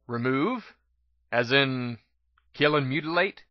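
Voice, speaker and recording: A man voicing a brash, trigger-happy female pony gunfighter in an excitable dramatic reading. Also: mid-range voice, takes it slowly, no echo, muffled recording. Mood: eager, brash. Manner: excitable, loud outbursts. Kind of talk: first-person dramatic reading.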